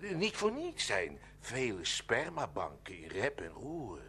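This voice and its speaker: An actor playing a pompous, pushy stranger in a Dutch radio play, stammering, repeating himself and talking floridly. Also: male voice, medium pitch, huge pitch swings, measured pace, bright, forceful tone, a little hiss or hum, full character voice. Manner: stammering, repetitive, florid and insistent.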